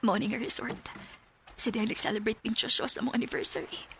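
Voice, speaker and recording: An actress with a Cebuano Filipino accent playing a grieving, emotional wife and schoolteacher in denial, in a radio drama. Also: female voice, on the high side, steady tone, fairly quick, a bit bright, a little hiss or hum. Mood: subdued. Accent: Filipino, Cebuano-speaking. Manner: emotional, melodramatic. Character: grieving wife in denial over her lost husband, schoolteacher. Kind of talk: Philippine radio drama acting.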